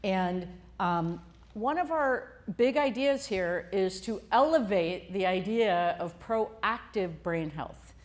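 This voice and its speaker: A woman's voice: mid-range voice, expressive, slow pace. A woman host introducing a health talk to a live audience in a room, chatty and loose, with frequent fillers. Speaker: CEO hosting a health talk.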